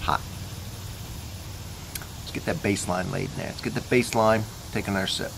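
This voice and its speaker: A man's voice: on the low side, fairly even intonation, moderate pace, vocal fry, background noise. A man casually reviewing coffee in his backyard, talking in an easygoing, rambling way as he thinks aloud. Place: backyard outdoors.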